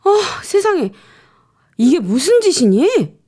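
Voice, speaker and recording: A woman's voice: very high-pitched, very animated, slow, dark tone, voice pushed up, heavily acted. A woman voicing a stern female schoolteacher with a reproachful storybook delivery. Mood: scolding.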